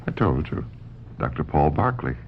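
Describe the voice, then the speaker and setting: A calm, probing male psychiatrist in a radio mystery. His voice is extremely low and gravelly, with wry, lively inflection. The recording is old and dull. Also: normal speed, slight background noise, heavily acted.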